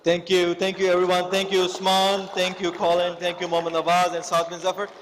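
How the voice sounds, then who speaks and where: A man hosting a gala, switching between English and Hindi-Urdu, firing off rapid thank-yous and announcements. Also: sits high, completely flat delivery, fairly bright tone, a little hiss or hum. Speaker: event host. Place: banquet hall.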